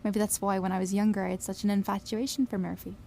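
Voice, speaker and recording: A woman's voice: medium pitch, level tone, quick pace, vocal fry, mellow, a little hiss or hum, natural voice. An actress playing a brash, wistful young woman in an audio drama, confiding and wry, with plenty of swearing. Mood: fond, reflective. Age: young adult. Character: Billie, brash, wistful young Scottish woman obsessed with fairies. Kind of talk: audio drama narration and dialogue.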